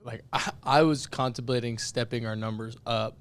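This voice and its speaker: A young man co-hosting a casual podcast, his voice raspy and worn out from yelling, talking in a candid, self-deprecating way. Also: mid-range voice, normal speed. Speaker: podcast co-host.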